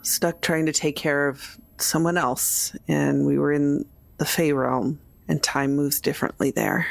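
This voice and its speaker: Low, creaky-voiced woman in a roleplaying game. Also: flat delivery, a little slow, no room sound. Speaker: tabletop game player. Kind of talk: improvised in-character conversation.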